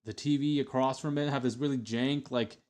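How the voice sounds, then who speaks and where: A man's voice: mid-range voice, steady tone, brisk, darkish tone, clear, resonant tone. A young adult man streaming, chatting casually with lots of fillers and restarts as he looks back on old videos. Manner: rambling, many fillers and restarts.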